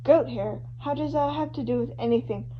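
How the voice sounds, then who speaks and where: A woman's voice: a little high, fairly quick, natural voice. A woman voicing a skeptical male true-crime co-host with a blunt, incredulous, questioning delivery. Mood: baffled. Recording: muffled lo-fi home recording with hum.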